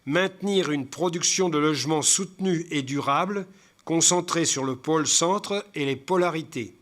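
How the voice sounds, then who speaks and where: A man giving a formal report as a local councillor, reading out points haltingly, stumbling and repeating words as he goes. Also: a little high, a bit bright. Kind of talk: formal presentation read from slides with spoken asides.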